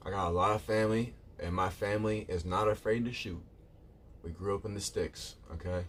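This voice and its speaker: A man's voice: on the low side, fairly even intonation, a little fast, slight background noise. A man live streaming, chatting casually with viewers in a rambling way, repeating himself and calling them "bro".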